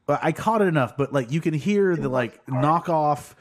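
A man's voice: on the high side, lively intonation, moderate pace, noisy background. A man hosting a casual podcast, rambling and laughing often as he chats with friends. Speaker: podcast host. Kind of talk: casual podcast banter while tasting drinks.

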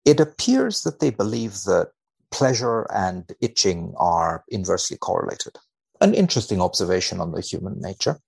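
Very deep, creaky-voiced man. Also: very animated, normal speed, a little mellow, no room sound. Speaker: biomedical researcher, podcast guest. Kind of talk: conversational commentary and reading aloud from a study.

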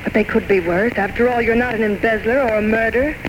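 A woman's voice: on the high side, quick pace, highs cut off, close to an everyday voice. Woman playing devoted, stubborn sweetheart, old noisy radio. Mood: concerned. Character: devoted, stubborn girlfriend of an alcoholic man, earnest and reassuring. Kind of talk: 1940s-1950s radio drama acting.

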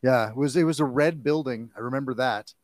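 A man in his forties, a guest actor in a casual chat, talking in an animated, jokey way. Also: mid-range voice, no room sound. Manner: animated and jokey, quick to laugh. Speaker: guest, actor and audiobook narrator.

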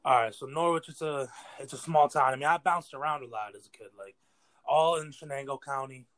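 Young american guy, like 18, rambling earnestly in slang on an interview, normal pitch. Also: fairly quick, fairly bright tone. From New York State, United States. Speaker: interview guest, battle rapper. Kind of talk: casual slangy interview talk.

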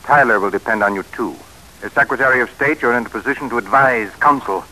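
An actor playing a pushy, scheming American politician who talks insistently and persuasively, in old-time radio drama style with an American accent. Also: male voice, medium pitch, quick pace, pushed and bright, low-quality recording. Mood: conspiratorial, coaxing. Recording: hissy vintage radio broadcast recording.

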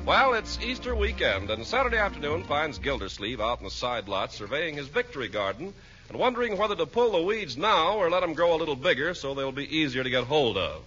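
A man's voice: fairly high pitch, animated, fairly quick, sharp tone. A man with an American accent announcing and narrating a script in 1940s radio style. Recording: muffled old radio recording, music behind.

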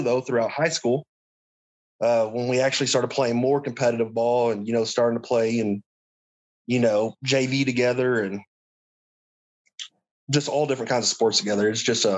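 A man guesting on a podcast, talking in a relaxed, laid-back casual way. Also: medium pitch, rough recording. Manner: relaxed, laid-back with fillers like "you know". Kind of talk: casual chat.

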